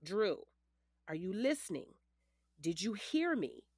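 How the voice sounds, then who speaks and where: A woman's voice: medium pitch, sing-song, takes it slowly, darkish tone, husky, no room sound, studio quality, full character voice. A woman playing a confident, matter-of-fact lawyer in her late forties in an audio drama, firm, precise and commanding. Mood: instructive.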